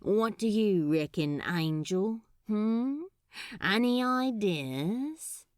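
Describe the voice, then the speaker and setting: Woman voicing a cocky, seductive male demon, slow drawl. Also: lively intonation, a little mellow, no echo, studio quality. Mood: teasing. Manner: drawn-out, hissing, low murmur. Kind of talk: fan fiction audiobook character voice.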